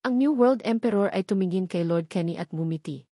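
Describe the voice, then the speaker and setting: A woman narrates a scripted story in a medium-pitched voice. The recording is very dry, with no room around the words. She reads as an audiobook narrator. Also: lively intonation, a little fast, mellow, no echo.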